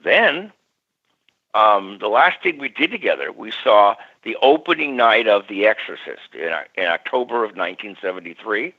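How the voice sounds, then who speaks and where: An older male parapsychologist telling stories as a radio guest, lively, acting out quoted voices. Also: fairly low pitch, normal speed. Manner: animated, acts out quoted voices. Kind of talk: storytelling in a radio interview. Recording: muffled radio audio.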